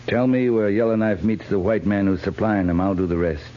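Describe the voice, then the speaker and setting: An actor playing a steady, dry cavalry captain in a 1950s radio western drama. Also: male voice, fairly low pitch, fairly quick, close to an everyday voice. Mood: grave persuasion. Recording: muffled, hissy 1950s radio recording.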